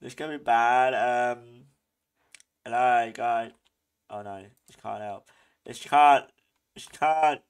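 A man hosting a gaming channel, giving excitable play-by-play commentary, often laughing and yelping in alarm. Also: medium pitch, slow, pauses often, smooth. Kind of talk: live gameplay commentary.

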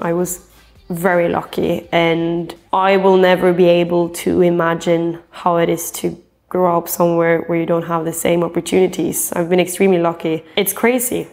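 A woman's voice: on the low side, a little mellow. Woman, 20s, from Norway; musician in a sit-down portrait interview, earnest and rambling, clip-on mic. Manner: earnest and chatty, with frequent fillers and restarts. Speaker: pop singer-songwriter. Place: dim indoor room, seated in an armchair.

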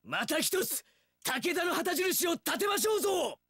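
A fiercely loyal young samurai spearman in a video game. His voice is very high for a man and forceful, with a raspy edge, and he makes archaic warrior declarations with wildly swinging pitch. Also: very animated, unhurried, no echo, studio quality, full character voice. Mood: fervent, battle-ready. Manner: archaic formal warrior speech, bellowed declarations. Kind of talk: video game battle voice lines.

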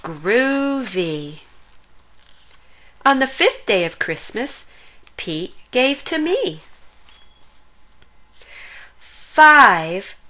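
A woman reading a rhyming children's picture book aloud in an animated, sing-song way with drawn-out emphasis. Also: on the high side. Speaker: children's story reader.

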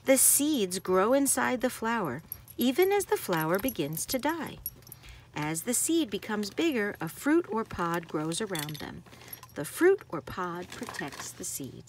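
A woman's voice: medium pitch, slight background noise. A woman reading a nonfiction picture book aloud to children like a teacher, stressing key words.

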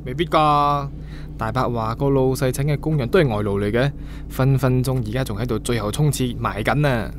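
Chatty young son, lowish voice, noisy background male actor. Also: a little fast, lightly acted. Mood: chatty, teasing. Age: young adult. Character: young adult son, chatty, earnest, nudging his father toward romance. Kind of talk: radio drama dialogue, conversational.

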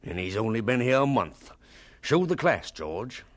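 An actor playing a bitter, sarcastic schoolteacher in a radio drama, stern and sneering in a theatrical way. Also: male voice, mid-range voice, big pitch swings, a little slow. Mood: mocking. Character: bitter, scornful adult male schoolteacher, sarcastic and domineering.

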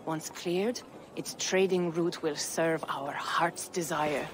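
A woman playing a courteous, poised female trader in a video game, formal and measured. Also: low voice, fairly even intonation, slight background noise. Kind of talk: dramatic video game cutscene acting.

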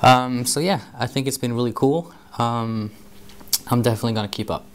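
A man's voice: mid-range voice, fairly quick, a little hiss or hum. A young man whose first language is Norwegian, talking casually to camera in an office room, hesitant with many ums and uhs. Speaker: marketing vlogger.

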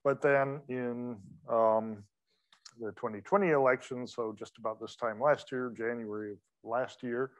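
A middle-aged man giving an academic lecture from a podium microphone in a large wood-paneled hall, talking in a relaxed, conversational way with many fillers and quick asides. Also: medium pitch, dull audio. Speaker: guest lecturer.

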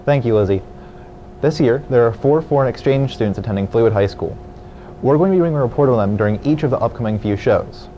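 Brisk teen boy news anchor. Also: mid-range voice, expressive, warm tone, lo-fi sound, a little hiss or hum. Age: teens. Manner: brisk, reading from script. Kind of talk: school news broadcast. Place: school studio with virtual set. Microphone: lapel mic.

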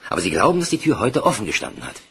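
An actor playing an authoritative bank director, stern and deliberate, in a German crime radio play. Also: male voice, lowish voice, huge pitch swings, bright, forceful tone, full character voice. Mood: questioning, firm. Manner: commanding, deliberate.

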